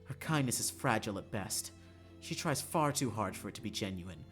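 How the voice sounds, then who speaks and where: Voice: medium pitch, expressive, normal speed, faint music behind, natural voice. A streamer voicing a cold, guarded young princess from a visual novel, formal and dismissive.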